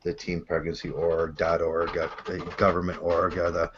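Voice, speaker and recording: A man hosting a casual online discussion, with a soft voice that has a gravelly undertone and a relaxed, blunt, rambling way of talking. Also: deep voice, normal speed, a little hiss or hum. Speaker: host. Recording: dull lo-fi call audio.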